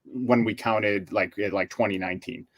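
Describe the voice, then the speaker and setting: A man in his forties with a fairly low voice, chatting casually as a co-host. He rambles, circling back with fillers and restarts. The sound is dry and close, with no room echo. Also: fairly even intonation, moderate pace. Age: 40s. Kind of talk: casual chat.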